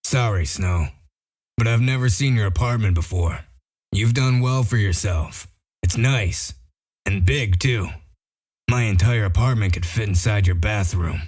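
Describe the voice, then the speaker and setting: A man playing a gruff, dry-witted detective in a comic dub, explaining things in a matter-of-fact, wry way. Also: lowish voice, unhurried, darkish tone. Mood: dry, unbothered. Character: Bigby Wolf, gruff dry-witted male detective sheriff. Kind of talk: amateur comic dub acting.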